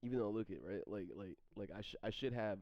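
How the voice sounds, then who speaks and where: A man in his thirties, streaming and rambling through questions from chat, halting and repeating his words as he goes. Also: fairly low pitch, darkish tone, dry recording. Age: 30s. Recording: dry, slightly dull stream mic audio.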